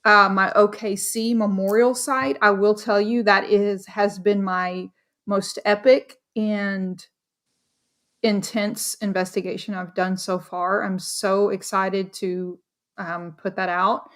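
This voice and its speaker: A woman's voice: medium pitch, flat delivery, takes it slowly, a bit bright. A woman from Dallas, Texas, a paranormal investigator, chatting bubbly and casually with lots of fillers in an interview.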